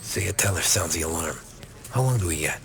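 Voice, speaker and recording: A cautious, level-headed American gangster with a low, gravelly voice. He is dry and measured, a sceptic in a cinematic crime game, and loud music plays behind him. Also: animated. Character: level-headed, cautious mobster, a married family man.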